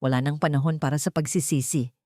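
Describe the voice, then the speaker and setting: A man's voice: on the high side, fairly even intonation, fast, dark tone, no room sound, studio quality, lightly acted. A woman voicing a stern older father, a wealthy patriarch who speaks in a hard, commanding, emotionless way in a dramatic story.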